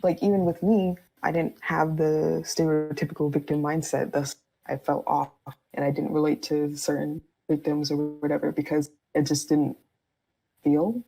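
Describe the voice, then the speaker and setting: A woman with a low, husky voice and plenty of vocal fry talks casually on a podcast. She explains her views candidly, in loose, informal phrasing. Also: dark tone, low-quality recording.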